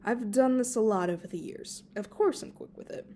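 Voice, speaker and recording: A woman's voice: a little high, normal speed, dark tone, a little hiss or hum, lightly acted. A woman voicing a playful, teasing male fae student who fusses and instructs, in a storybook reading. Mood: playful, boastful. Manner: breezy, chiding, instructing.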